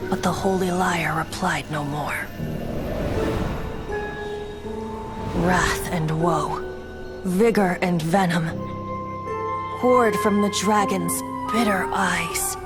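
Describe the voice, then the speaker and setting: A woman's voice: on the low side, steady tone, slow pace, over loud music. A woman voicing a youthful, whimsical boy bard in an anime game dub, with a lilting, theatrical, teasing manner. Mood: wistful, poetic.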